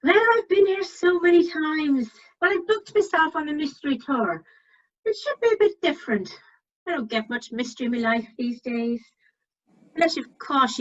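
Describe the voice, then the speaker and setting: Woman acting a high-voiced, wry cruise regular. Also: lively intonation, normal speed, fairly bright tone. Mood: rueful. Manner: self-deprecating, wry. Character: chatty, forgetful, seasoned single cruise passenger. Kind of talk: amateur radio comedy acting. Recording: dull online meeting audio.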